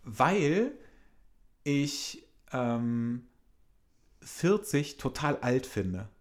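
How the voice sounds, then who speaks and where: A man's voice: medium pitch, big pitch swings, very slow. Man, late thirties, casual chatty storyteller, lots of ums. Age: 30s. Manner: rambling, frequent fillers. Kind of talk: casual chat, anecdote.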